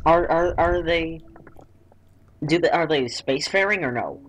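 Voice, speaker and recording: Guy with a higher voice asking stuff during a game, bit of typing in the back. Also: a little slow, a bit bright. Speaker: player in a multiplayer strategy game. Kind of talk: casual gaming chat, asking questions.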